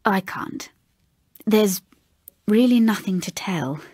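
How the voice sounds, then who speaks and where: A woman acting as a middle-aged English housekeeper in an audiobook ghost story. She is proud and reserved, haunted by memory. Her breathy voice goes slowly and haltingly, with understatement, in a dry recording. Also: medium pitch, expressive, darkish tone, no echo. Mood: reluctant.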